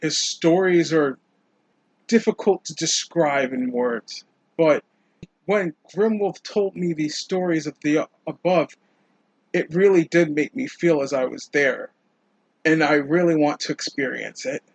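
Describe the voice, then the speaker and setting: A man roleplaying at a tabletop game, telling his character's story in a halting, conversational way. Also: a little high, dry recording, low-quality recording.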